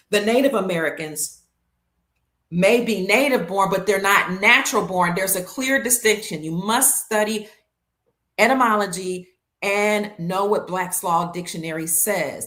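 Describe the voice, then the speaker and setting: A woman's voice: lowish voice, fairly bright tone. A woman hosting her own show, delivering an emphatic monologue to viewers, leaning on key words and stacking them in lists. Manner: leans hard on key words, slows for stress. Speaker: show host. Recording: roomy echo.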